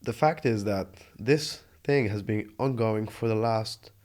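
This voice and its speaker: Young guy from jordan, kinda low voice, talks earnest and thoughtful, restarts a lot. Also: a little slow, a little mellow. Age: 20s. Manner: earnest, reflective, with frequent restarts. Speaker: interview guest. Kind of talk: casual conversational interview. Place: Australia.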